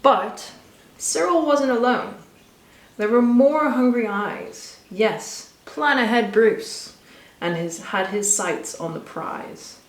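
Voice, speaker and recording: Slow-paced woman reading in an echoey room. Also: fairly high pitch. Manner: expressive, rhythmic rhyming delivery. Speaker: zookeeper reading a children's story. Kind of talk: scripted storybook narration for children.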